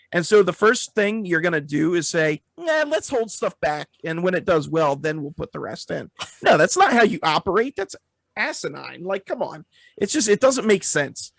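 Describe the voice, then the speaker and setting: Higher-pitched, creaky-voiced man, opinionated podcast host. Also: fairly bright tone, dry recording. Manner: opinionated, emphatic. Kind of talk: casual film review chat.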